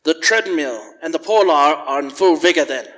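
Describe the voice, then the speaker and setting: A man's voice: on the high side, moderate pace, a bit bright, lightly acted. A man playing a cold, curmudgeonly old miser in a live radio play, curt and scornful. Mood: sardonic. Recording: live in echoey church hall.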